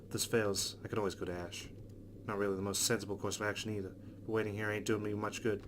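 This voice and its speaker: A man's voice: lowish voice, quick pace. A man reading visual novel narration aloud and adding casual reactions of his own. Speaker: let's play host reading game text aloud. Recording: steady loud background noise.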